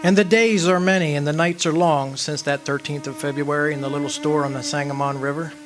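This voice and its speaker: A man's voice: fairly high pitch, steady tone, a little fast, over music, close to an everyday voice. A man playing a shy, bashful young man in love, hesitant in his talk, in old-time radio drama style. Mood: wistful. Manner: stammering, hesitant.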